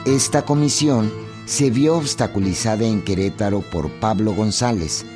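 A man giving measured, formal narration for a scripted historical radio drama. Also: on the low side. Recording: music behind the voice.

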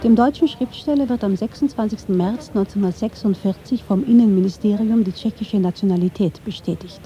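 A woman reading scripted documentary narration in a measured, factual storytelling manner. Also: medium pitch, warm tone. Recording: slightly dull, band-limited sound.

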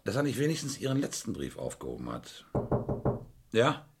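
An actor playing a brisk, sceptical male police inspector in a German radio crime play, questioning in a curt, dry, probing way. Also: on the low side, huge pitch swings, over music. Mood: dry, pondering.

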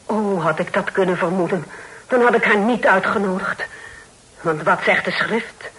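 An actress playing a pious, stern but charitable older aunt in a radio play, speaking in a preachy, solemn manner. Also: female voice, mid-range voice, normal speed, fairly bright tone, husky, rough recording. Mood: scandalized, righteous. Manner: preachy, scripture-quoting, solemn exclamations. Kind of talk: Dutch radio play acting. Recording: old radio play recording.

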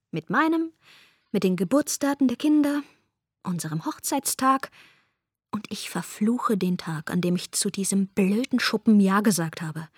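An actress performing a radio drama monologue, a wife narrating in the first person, wry and exasperated. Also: female voice, mid-range voice, husky, no room sound, studio quality.

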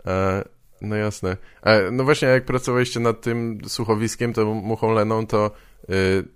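A man hosting a casual podcast interview, asking informal questions in a halting way. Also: fairly low pitch, fairly even intonation, smooth, dry recording, studio quality.

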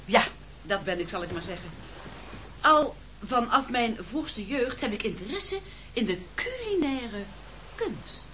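Een vrouw die in een hoorspel een quasi-bescheiden, vleierige kok speelt die aarzelend een tafelrede houdt. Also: female voice, fairly high pitch, a bit bright, slight background noise, poor audio. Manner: hesitant, self-effacing, ingratiating. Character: falsely modest, wheedling cook pleading before diners. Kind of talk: Dutch radio play acting, speech-making.

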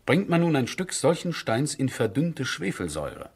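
An actor playing an earnest, courteous military captain with a scientific bent, speaking measured, formal in a 1970s radio drama. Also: male voice, mid-range voice, sing-song, husky. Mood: lecturing.

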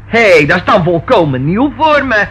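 A woman's voice: mid-range voice, sing-song, moderate pace, a bit bright, soft background music. An actress playing a sardonic, unflustered and evasive hotel landlady in a radio play, sarcastically polite and defiant. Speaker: radio drama actor. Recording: noisy old radio drama recording.

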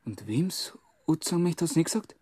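An actor playing a persistent police inspector in a radio crime drama, with a Bavarian accent and insistent, probing delivery. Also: male voice, fairly high pitch, expressive, a little fast, mellow, slight background noise, lightly acted. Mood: coaxing, impatient.

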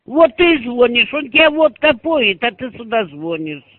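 A woman's voice: fairly high pitch, sing-song, normal speed, no room sound. A woman on a phone call, abusive and scolding, swearing at the other side. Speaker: phone caller. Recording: tinny phone line.

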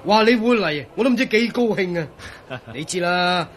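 A man's voice: high-pitched, expressive, moderate pace, fairly bright tone, slight background noise. An actor with a Hong Kong Cantonese accent playing an eager, chatty, jokey male friend in a radio drama. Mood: delighted.

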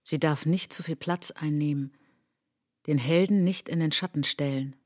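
Wounded introspective woman, low husky voice, measured radio play monologue, really dry studio sound. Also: darkish tone, dry recording. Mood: bitter, reflective. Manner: measured, deliberate, short phrases. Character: introspective, wounded woman traveller recalling her outcast childhood. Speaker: narrator and lead of an autobiographical radio play. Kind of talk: literary radio drama monologue, fragmented and poetic.

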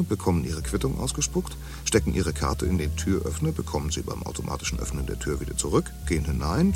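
Very deep, gravelly voice, condescending know-it-all, music bed. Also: male voice, noisy background, heavily acted. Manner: talkative, condescending, full of jargon. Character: chatty man in a futuristic society, lecturing a bar companion. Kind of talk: radio drama acting.

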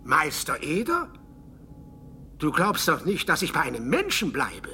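Low, sharp-voiced little sea kobold. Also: female voice, wildly swooping pitch, a little slow, heavily acted. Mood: solemn, wistful. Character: male blue-haired sea kobold, ticklish, wistful and proud. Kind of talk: children's radio play acting. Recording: faintly noisy 1970s radio recording, rumble.

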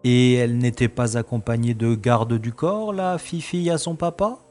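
A man's voice: medium pitch, moderate pace, a little mellow, faint music behind, natural voice. A man acting a bored, cynical special agent in a science-fiction audio drama, with sardonic, world-weary complaining. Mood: curious, wry.

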